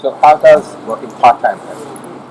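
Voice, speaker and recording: A man from India interviewing a group of students, asking questions with many fillers and pauses. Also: medium pitch, poor audio. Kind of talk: casual interview. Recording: loud background noise.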